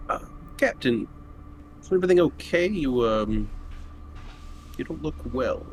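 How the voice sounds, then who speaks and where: A man playing a tabletop roleplaying game, speaking in a casual, halting, conversational way. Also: medium pitch, animated. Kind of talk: casual in-character game chat.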